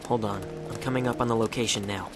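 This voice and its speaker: A man's voice: fairly low pitch, steady tone, fast talker, natural voice. A man playing a heroic hedgehog in an audio drama, casual, alert and clipped. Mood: alert, guarded. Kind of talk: fan audio drama acting. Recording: clearly noisy background ambience.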